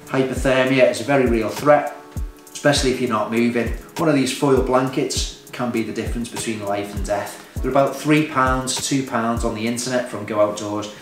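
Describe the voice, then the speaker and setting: A man's voice: medium pitch, fairly even intonation, normal speed, hardly any pauses. A man in his thirties or forties giving matter-of-fact, informal hiking advice to camera, as a vlogger instructing beginners. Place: indoors, small home room at a table. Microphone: camera a few metres away. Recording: echoey room, distant mic, faint music.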